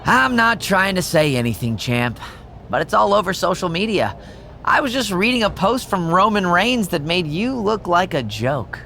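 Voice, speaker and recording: A man's voice: sits high, big pitch swings, moderate pace, gravelly, pushed and bright, slight background noise, heavily acted. An actor playing a sly, sarcastic male assistant in a video game. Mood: needling. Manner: smug, sarcastic.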